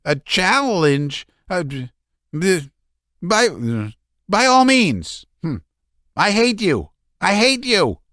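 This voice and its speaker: A man reading aloud, voicing a hysterical young man who shouts and stammers in a high strained voice. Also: big pitch swings, very slow, no echo, studio quality, full character voice. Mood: hateful. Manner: shrill, stammering, near-shouting.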